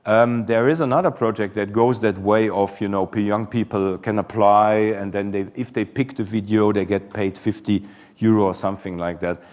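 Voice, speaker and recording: Hesitant Belgian man, low voice. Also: moderate pace. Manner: hesitant, repeats words, then animated. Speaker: conference presenter. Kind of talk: conference Q&A answers.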